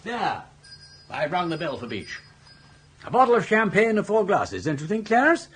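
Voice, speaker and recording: Breezy older posh english gent, high husky voice, very animated, radio comedy. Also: male voice, normal speed, heavily acted. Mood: coaxing. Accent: upper-class English. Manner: breezy, theatrical, coaxing. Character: jaunty, persuasive upper-class gentleman, impetuous brother of an earl. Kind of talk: British radio comedy drama.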